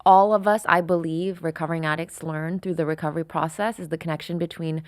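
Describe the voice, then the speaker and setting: A woman's voice: low voice, fairly even intonation, fast, dry recording, studio quality. A woman in her thirties from France, speaking openly and reflectively as a podcast guest, with frequent fillers. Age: 30s. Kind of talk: candid interview conversation.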